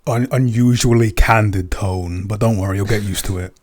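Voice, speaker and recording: A man's voice: fairly low pitch, fairly even intonation, normal speed, a little mellow, a little hiss or hum. A British man, producer of a podcast, chatting casually with dry jokes and thoughtful asides. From Britain. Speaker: podcast producer, co-writer and composer.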